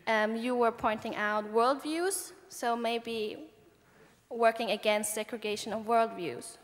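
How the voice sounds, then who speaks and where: A woman asking a question from the floor mic, speaking hesitantly with many pauses. Her voice is slightly high. A long hall reverb trails her words. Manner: hesitant, halting phrasing. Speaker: audience questioner.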